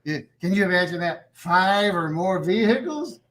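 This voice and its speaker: A man's voice: sits high, expressive, moderate pace, a bit bright. A man playing a chatty, genial small-town official in a sitcom table read, rambling in a folksy way and repeating himself. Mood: delighted, fascinated.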